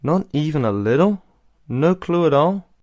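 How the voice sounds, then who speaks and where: A man playing a cool, eloquent teenage older brother in an amateur audio drama, speaking in a calm, deliberate way. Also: a little high, natural voice. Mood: knowing.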